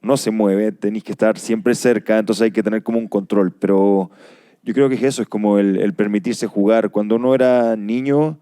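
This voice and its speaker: A Chilean man talks informally on a panel. His voice is low and softly mellow, with a clean, smooth tone and a thoughtful delivery. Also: fairly even intonation, moderate pace, no room sound, studio quality. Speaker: radio drama actor on a panel. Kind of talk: casual panel discussion.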